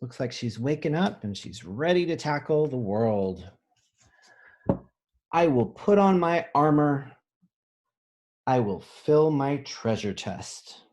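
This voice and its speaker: A man reading a children's picture book aloud as a teacher, pausing to think aloud and explain things simply. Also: mid-range voice, very animated, a little mellow. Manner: pauses to pose questions and think aloud.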